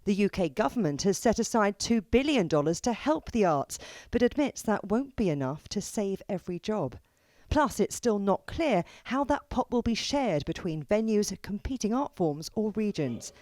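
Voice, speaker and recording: A woman's voice: medium pitch, big pitch swings, normal speed, darkish tone, dry recording. A woman reporter reading a scripted television news feature in a playful storytelling way.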